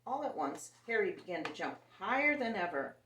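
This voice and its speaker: A woman reads a picture book to little listeners in a lively, expressive voice that rises and falls a lot. The sound is roomy and she sits some distance from the mic. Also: medium pitch, brisk, slight background noise. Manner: animated and expressive, voicing characters. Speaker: children's story reader. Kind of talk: reading a picture book aloud to children.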